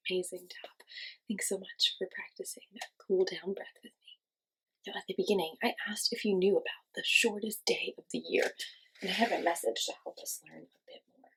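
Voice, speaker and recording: A woman teaching young children, giving simple encouraging instructions and asking questions, with pauses for answers. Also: mid-range voice, steady tone, a bit bright, slightly rough, poor audio. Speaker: children's class teacher and host. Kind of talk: children's lesson with guided movement.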